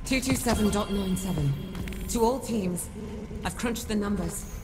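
A woman playing a businesslike, resolute scientist leaving recorded messages in a video game, measured and matter-of-fact. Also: medium pitch, natural voice. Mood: sober, warning. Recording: very loud music behind.

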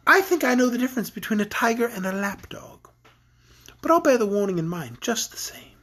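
A woman's voice: a little high, sing-song, a little fast, fairly bright tone, pitched above the performer's own voice. A woman voicing a cruel storybook queen, imperious, mocking and haughty. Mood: amused command. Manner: commanding, teasing, haughty. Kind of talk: children's storybook read aloud.

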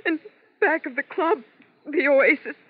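A woman's voice: sits high, unhurried, dry recording. An actress playing a frightened, anxious young Las Vegas showgirl in a 1950s radio drama, with dramatic delivery. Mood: distraught. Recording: muffled old 1950s radio recording.

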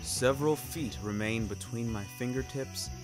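A man's voice: medium pitch, moderate pace. A man narrating a scripted first-person story in a wry, theatrical, ornate manner. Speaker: narrator of a fiction podcast. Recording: music bed behind narration. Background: music.

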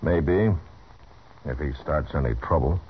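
Very deep-voiced stern frontier marshal. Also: male voice, measured pace. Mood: warning. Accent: American. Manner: blunt, authoritative, terse. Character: stern, seasoned US marshal in the Old West. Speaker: radio drama actor. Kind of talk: 1950s radio Western acting. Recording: muffled, noisy 1950s radio recording.

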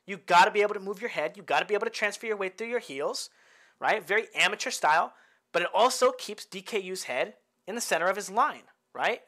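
Bay area guy coaching over fight tape, casual and talky, bright edgy voice. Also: sits high, expressive, fast talker. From Bay Area, United States. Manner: chatty, tags many lines with "right?", laughs at own jokes. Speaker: boxing coach. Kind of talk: casual fight-film breakdown.

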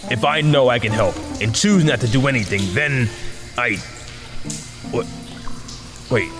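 A man's voice: mid-range voice, measured pace, long reverb tail, lightly acted. A man playing an earnest, flustered young masked hero with a hesitant, exasperated manner in a comic audio drama. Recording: music behind.

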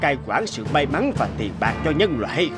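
A portly folktale god of wealth, speaks in a theatrical, exclamatory way. The voice sits fairly high and swings widely, stretching words out. Music plays behind it. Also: male voice, big pitch swings, moderate pace. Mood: stern, solemn. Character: portly god of wealth, hungry amnesiac beggar turned stern deity. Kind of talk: cartoon folktale acting.

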